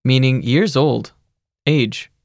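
A narrator giving measured, scripted instructions in a language course. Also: mid-range voice, no echo, studio quality. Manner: even, measured teacherly instructions.

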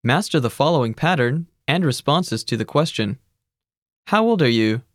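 Measured narrator of a language course. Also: medium pitch, dry recording, clean studio sound. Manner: even, measured teacherly instructions. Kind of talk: scripted instructional narration.

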